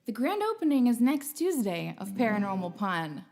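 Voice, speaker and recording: Playful high-voiced woman hosting a livestream. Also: big pitch swings, moderate pace, darkish tone, faint music behind. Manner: playful, rambling, jokey. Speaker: host and singer. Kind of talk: livestream concert banter.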